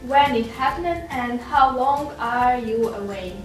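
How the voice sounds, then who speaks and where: A woman's voice: a little high, slow. A woman host who formally reads out her interview questions. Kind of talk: scripted studio interview questions. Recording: echoey room, distant mic, faint music.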